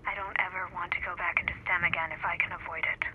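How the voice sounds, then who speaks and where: Uneasy haunted game ally, pretty flat, thin radio with loud noise. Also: female voice, on the low side, fast, phone-quality sound. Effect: radio filter.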